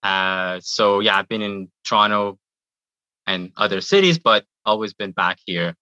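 A man's voice: fairly low pitch, unhurried, pushed and bright, dry recording, rough recording. A man in his thirties, born in Iran, chatting in an interview and often repeating words as he talks. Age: 30s. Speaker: guest, YouTuber. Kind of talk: casual interview answers.

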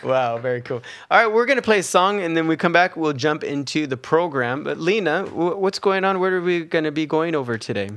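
A man's voice: fairly high pitch, expressive, brisk, hardly any pauses, fairly bright tone. A man hosting a radio show in a studio, chatting casually and joking with guests. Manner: jokey, laughs often.

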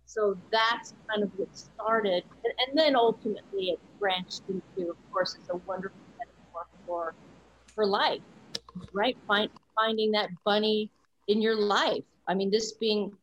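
A woman's voice: medium pitch, steady tone, unhurried, a little hiss or hum, rough recording. A woman voice-over coach speaking as an interview guest, candid and reflective, often adding "you know" as she talks. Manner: earnest, reflective, many "you know" asides.

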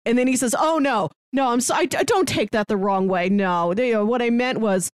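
A woman's voice: fairly high pitch, brisk, no echo, clean studio sound. A woman co-hosting a podcast in a casual film discussion, recounting scenes conversationally. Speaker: podcast co-host.

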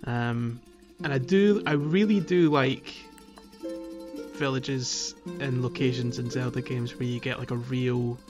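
A man's voice: mid-range voice, steady tone, unhurried, music in the background. A man hosting a casual gaming podcast, often searching for his words. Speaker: podcast host.

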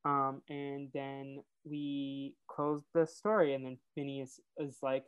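Low-pitched nasal woman, chatty podcast co-host. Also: little pitch movement, slow, clear, resonant tone. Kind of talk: casual episode recap and chat.

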